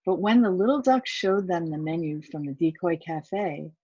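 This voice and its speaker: Lowish, slightly husky woman reading a storybook. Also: lively intonation, normal speed, warm tone, dry recording, highs cut off. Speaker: volunteer story reader. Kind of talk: children's picture book read-aloud with asides to listeners.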